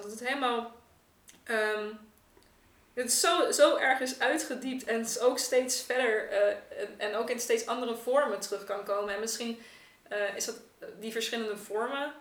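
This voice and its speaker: A woman in casual, reflective conversation, hesitantly searching for her words and restarting phrases. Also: a little high. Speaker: artist, podcast participant. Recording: echoey room, distant from mic.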